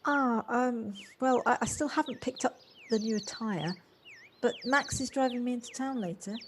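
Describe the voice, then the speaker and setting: Actress as a polite well-spoken lady, bit hesitant, highish voice, audio play done at home w/ faint noise. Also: moderate pace, close to an everyday voice. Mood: friendly, polite. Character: Jocelyn, polite warm woman settling into a house. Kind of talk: lockdown audio play acting. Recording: home recording on personal device, faint noise.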